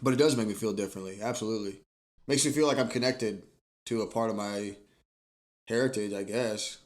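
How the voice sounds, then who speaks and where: A man hosting a podcast, asking questions in casual chat and speaking haltingly with many restarts. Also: lowish voice. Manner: hesitant, halting, full of restarts. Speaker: podcast host.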